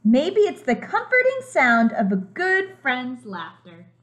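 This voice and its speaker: A woman in her twenties, a preschool teacher reading a picture book aloud to small children in an animated, simply explaining way. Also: high voice, slow pace. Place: home kitchen, indoors.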